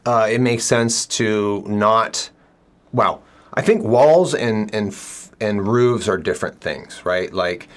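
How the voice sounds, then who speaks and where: A man's voice: fairly low pitch, takes it slowly. A middle-aged man hosting an interview, asking a guest conversational questions with plenty of fillers, seated indoors in an office. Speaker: builder and show host.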